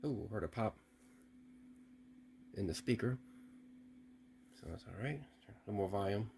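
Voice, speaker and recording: A man's voice: lowish voice, animated, a little slow, creaky, dark tone, slight background noise. A man talking casually as he unboxes and tests an old radio, thinking aloud with small restarts. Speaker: radio hobbyist testing gear.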